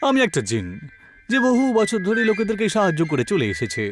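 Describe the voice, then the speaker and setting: A man's voice: high voice, sing-song, quick pace. A man voicing a huge, kindly but commanding genie in a cartoon, with theatrical, reassuring delivery. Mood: helpful. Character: huge male genie, kindly helper who turns stern. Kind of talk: cartoon dialogue. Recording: music behind the voice. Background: music.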